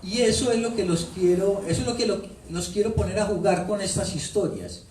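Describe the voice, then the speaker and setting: Man, Colombian paisa accent, playful storyteller, high pitch, echoey distant room sound. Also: brisk, a little mellow, a little hiss or hum. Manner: playful, storytelling, repeats phrases for effect. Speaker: author at book launch. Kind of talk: animated talk to an audience. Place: bookstore event room. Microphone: handheld microphone.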